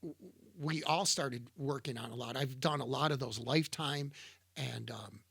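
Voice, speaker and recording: A middle-aged man co-hosting a relaxed conversation, with a gravelly, husky edge to a bright, fairly high voice. He rambles and restarts often, searching for words. The sound is close and dry. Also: normal speed, no room sound. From Niagara Falls area, New York. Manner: rambling, hesitant, many restarts and fillers. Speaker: podcast co-host. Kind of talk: casual chat.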